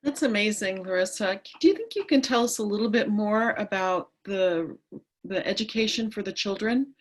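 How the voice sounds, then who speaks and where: A woman's voice: mid-range voice, moderate pace. A woman hosting an online interview, asking encouraging follow-up questions.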